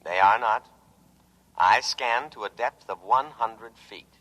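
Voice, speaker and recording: A man's voice: on the low side, slow, sharp tone, full character voice, rough recording. A man in a 1950s radio drama playing a dutiful, solicitous military lifeboat machine that speaks formally and precisely. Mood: firm, officious. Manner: formal, precise, patriotic, unyielding. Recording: vintage radio recording.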